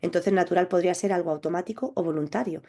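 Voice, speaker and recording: A woman sexologist answering interview questions, explaining in a measured, thoughtful way with small restarts. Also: lowish voice, dark tone. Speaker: clinical sexologist guest.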